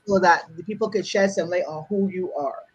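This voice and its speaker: A woman's voice: on the low side, brisk, slightly rough, lo-fi sound. A woman hosting a live-streamed interview, building up her guest with emphatic showman introductions. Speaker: show host.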